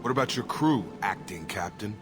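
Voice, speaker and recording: Man acting low-voiced bitter young soldier. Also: lively intonation, moderate pace, close to an everyday voice. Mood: curt. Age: young adult. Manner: accusing, blunt. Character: bitter, principled soldier son confronting his disgraced father. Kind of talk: dramatic video game voice acting. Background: cinematic background music.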